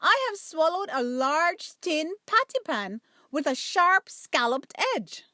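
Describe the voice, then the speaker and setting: A woman's voice: high voice, animated, takes it slowly, bright, forceful tone, no echo, studio quality, pitched above the performer's own voice, full character voice. A woman voicing a melodramatic, fretful lady dog from a storybook, moaning about how ill she feels. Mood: wailing, distressed. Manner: wailing, fretful, dramatic.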